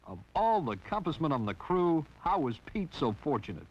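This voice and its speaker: A man's voice: fairly high pitch, sing-song, normal speed. A man narrating a 1960s training film in a wry, teasing scripted style. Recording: dull lo-fi 1960s film soundtrack.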